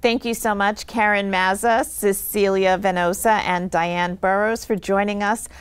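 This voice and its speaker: A woman's voice: mid-range voice, steady tone, a little slow, clean tone. A woman hosting a live television panel, polite and a little hesitant when asking questions. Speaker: host. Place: TV studio.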